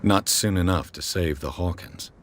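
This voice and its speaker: An actor playing a brooding, blunt private detective in a video game, with a dry, pointed, questioning delivery. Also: male voice, low voice, moderate pace, close to an everyday voice. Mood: skeptical, probing. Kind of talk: scripted game dialogue. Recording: clear background noise under the voice.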